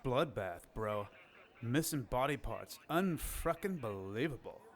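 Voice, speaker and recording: A man's voice: mid-range voice, expressive, measured pace, very noisy, natural voice. An actor playing a blunt, rattled, slangy male paramedic in an audio drama. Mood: stunned.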